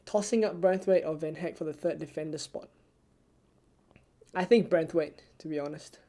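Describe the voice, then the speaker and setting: Soft-spoken streamer, mellow tone. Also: normal speed. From Singapore. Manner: soft, low-key, ASMR-like delivery. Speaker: fantasy football streamer. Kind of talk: live Q&A stream answering viewer questions.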